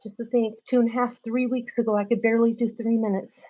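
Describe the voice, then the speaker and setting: A woman's voice: on the high side, level tone, quick pace, low-quality recording. A woman whose first language is Spanish chats casually with viewers during a live-streamed workout, laughing often and using many fillers. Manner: greets viewers warmly by name. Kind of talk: casual live stream chat while exercising.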